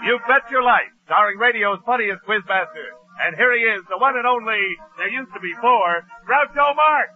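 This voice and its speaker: A man's voice: high voice, lively intonation, moderate pace, few pauses, loud music behind the voice. A man announcing a mid-century radio quiz show with a projected showman's delivery. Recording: tinny, narrow old radio broadcast.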